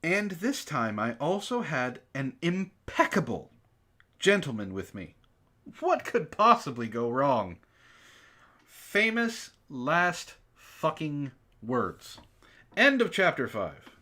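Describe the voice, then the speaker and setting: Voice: mid-range voice, very animated, takes it slowly, sharp tone. An outspoken, exasperated host who swears freely, moving between casual banter and mocking dramatic reading. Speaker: book club show host reading aloud.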